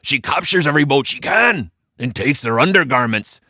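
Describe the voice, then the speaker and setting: A man's voice: medium pitch, lively intonation, normal speed, bright, forceful tone, no echo, studio quality, pitched above the performer's own voice. A man voicing a fearful, defeatist pirate crewman who whines, in a storybook. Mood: panicked. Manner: whining complaint.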